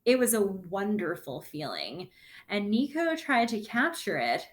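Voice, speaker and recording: A woman speaks as a kindergarten teacher reading aloud to young children. Her pace is slow and deliberate, broken into short, simple phrases. Her medium-pitched voice rises and falls with lively intonation.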